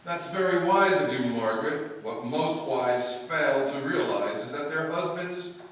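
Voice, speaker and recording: A cold, pompous older father played by an actor, with a fairly high male voice and a measured, lecturing manner. Old-time radio drama, distant in a very echoey hall. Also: expressive, fairly bright tone. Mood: cool, lecturing. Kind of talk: live old-time radio stage acting. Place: live stage performance in a hall. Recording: very echoey hall, distant microphone, background noise.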